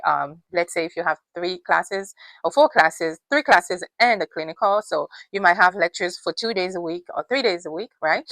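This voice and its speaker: A woman's voice: on the low side, a bit bright, dry recording, rough recording. Give me a woman nurse, not a native English speaker, giving emphatic advice in a dry, close recording. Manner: emphatic, repeats points, many fillers. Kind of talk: casual advice vlog.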